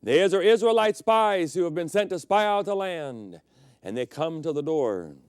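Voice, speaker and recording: A middle-aged man preaching a sermon as pastor, telling personal stories and swinging between loud emphatic peaks and quiet, low passages. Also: animated, normal speed, clear, resonant tone, no echo, studio quality. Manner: storytelling preacher, personal anecdotes.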